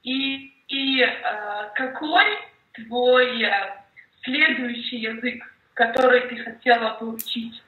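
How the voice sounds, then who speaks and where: A young woman speaking slowly and clearly on a video call, encouraging her partner and supplying missing words. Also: a little high, fairly even intonation, reverberant, narrow, tinny audio. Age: young adult. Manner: encouraging, prompts and corrects words. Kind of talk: slow, clear conversation practice. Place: home office indoors.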